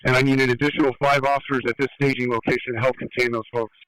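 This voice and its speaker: A man's voice: medium pitch, little pitch movement, racing, fairly bright tone, clear, resonant tone, dry recording, rough recording. A male police officer relaying orders over the radio, picked up by a scanner.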